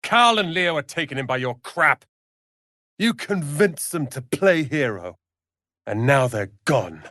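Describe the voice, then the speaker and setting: A snooty young wizard apprentice for a fantasy game, really animated pitch, touchy and sharp tongued, dry close recording. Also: male voice, measured pace, no room sound, exaggerated voice. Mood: bitter. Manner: haughty, theatrical, sharp-tongued. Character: proud, irritable young male wizard apprentice, quick to anger. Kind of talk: dramatic fantasy video game acting.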